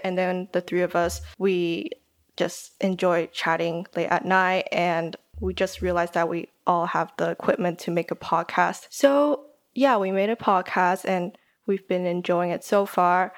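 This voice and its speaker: A woman's voice: fairly low pitch, steady tone, measured pace. A young woman from Taiwan, a design student who co-hosts her own podcast, chatting as a guest with many fillers and frequent laughs. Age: young adult. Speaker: podcast host, guest here. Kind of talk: casual chat.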